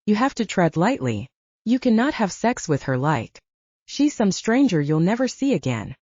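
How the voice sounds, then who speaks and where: A woman's voice: mid-range voice, very animated, moderate pace, darkish tone, breathy edge, no echo, lo-fi sound. A woman voicing a commanding, exasperated older brother, an alpha werewolf, with a stern, patronizing manner in an audiobook. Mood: stern, weary.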